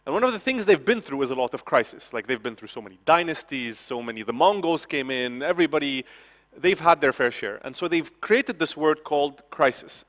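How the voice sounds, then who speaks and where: A man's voice: on the high side, expressive, a little fast, fairly bright tone. A man from Lebanon, giving a conversational conference talk with frequent "okay?" asides and restarts. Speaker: conference speaker. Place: conference hall. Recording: hall reverb from a conference stage.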